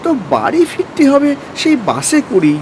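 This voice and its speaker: A man's voice: very high voice, wildly swooping pitch, a little slow, crowd noise, full character voice. A man playing a poor, weary father in an audio story, pleading humbly in a hesitant, deferential, rustic way. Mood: apologetic, pleading. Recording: clear street and vehicle noise behind. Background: bus engine and traffic sound effects.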